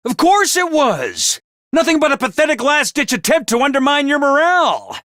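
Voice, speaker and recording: Peppy cartoon mascot commander, very high voice, swooping intonation, bossy, theatrical, video game style. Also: male voice, very animated, moderate pace, bright, forceful tone, no echo, clean studio sound, full character voice. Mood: commanding. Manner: theatrical, bossy, jaunty drill-sergeant delivery. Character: peppy, bossy little mascot commanding officer of student soldiers. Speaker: voice actor for a video game character. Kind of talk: animated video game voice acting.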